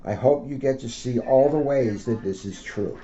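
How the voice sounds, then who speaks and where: A man's voice: mid-range voice, moderate pace. A middle-aged American man, a school police officer, reading aloud and talking informally to schoolchildren. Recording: dull lo-fi audio with faint noise.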